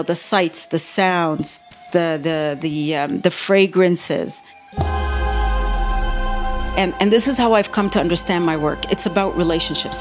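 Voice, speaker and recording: A middle-aged woman from Lebanon, a professor and artist, speaking reflectively as an interview guest and often repeating words as she searches for phrasing. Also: fairly low pitch.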